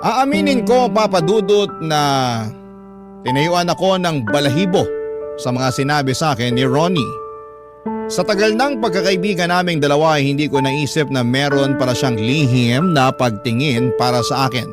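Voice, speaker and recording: Filipino male narrator played by an actor, slightly high voice, regretful confessional radio storytelling under prominent music. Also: moderate pace, lightly acted. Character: regretful man recounting a broken youthful friendship. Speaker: letter sender narrator. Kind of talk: first-person dramatic storytelling, radio drama. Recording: loud music bed under voice.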